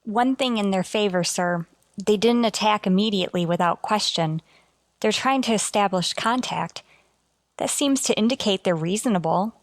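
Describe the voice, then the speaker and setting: A woman's voice: medium pitch, moderate pace, dry recording, close to an everyday voice. Actress doing a level-headed lady space officer, formal and earnest, old radio sci-fi, very dry recording. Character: dutiful, level-headed female starship officer. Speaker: actor. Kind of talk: golden age sci-fi radio drama acting.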